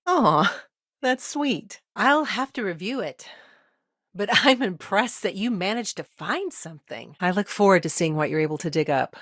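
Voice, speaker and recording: A woman playing an earnest, overworked lawyer in a video game, speaking in a measured, matter-of-fact, conversational way with dry wit. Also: lowish voice, no room sound, close to an everyday voice. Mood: sincere, measured. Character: earnest, overworked female frontier lawyer, dry-witted and principled. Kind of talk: naturalistic video game dialogue acting.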